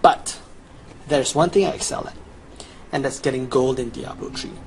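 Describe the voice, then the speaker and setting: A man's voice: medium pitch, moderate pace. A young adult man giving a scripted promotional voice-over as a gamer presenting his guide. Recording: clearly noisy home recording.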